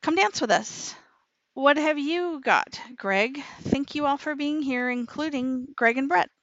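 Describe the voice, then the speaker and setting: A woman's voice: high-pitched, a little slow, fairly bright tone, dry recording, lo-fi sound. A woman co-hosting a radio show in a cheerful, chatty manner. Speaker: radio co-host. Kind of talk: casual radio chat.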